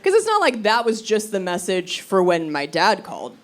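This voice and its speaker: A woman performing stand-up comedy, telling stories in an animated, conversational way and acting out quotes. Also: medium pitch, normal speed. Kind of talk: stand-up comedy storytelling to a live audience. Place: live comedy venue on stage. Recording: live crowd laughter, noisy stage recording. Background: audience laughter.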